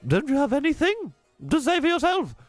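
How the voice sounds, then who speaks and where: A man's voice: big pitch swings, brisk, dry recording, faint music behind. A man voicing a strict, scornful middle-aged mother while reading a children's book aloud. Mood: furious. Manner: shrill, scolding, overbearing.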